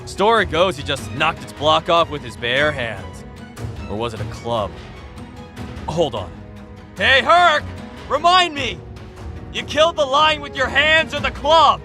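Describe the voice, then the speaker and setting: Man playing a flirty hero, lively and bright. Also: sits high, a little slow, long pauses, soft background music, heavily acted. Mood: calling out. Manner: breezy, teasing, chatty. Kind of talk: audio drama acting.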